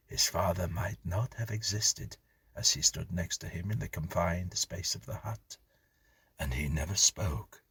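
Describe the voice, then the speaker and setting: Male horror audiobook reader: extremely husky and breathy, dry intimate sound, measured and grave delivery. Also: deep voice, a bit bright, no room sound. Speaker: narrator, story reader. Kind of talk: scripted horror story narration.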